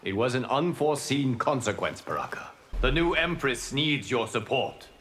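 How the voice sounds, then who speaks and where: Guy doing a calm benevolent god in a video game, formal and forceful, faint background noise. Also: medium pitch, lightly acted. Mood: measured, consoling. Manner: formal, measured. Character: solemn, benevolent male god, composed and lofty. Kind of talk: video game character dialogue.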